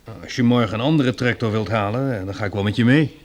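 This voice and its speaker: A man's voice: lowish voice, fast, close to an everyday voice. An actor playing a modest, helpful man in a Dutch radio play, speaking mildly.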